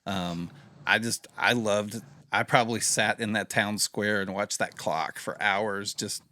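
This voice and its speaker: A man hosting a casual podcast interview, chatty and relaxed, with lots of fillers. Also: lowish voice, unhurried, no echo. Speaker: podcast host.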